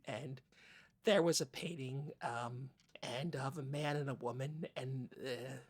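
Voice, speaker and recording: A woman playing an anxious, squeamish co-host on an improvised comedy podcast, halting and husky, too prim to swear. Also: very deep voice, steady tone. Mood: frightened, halting.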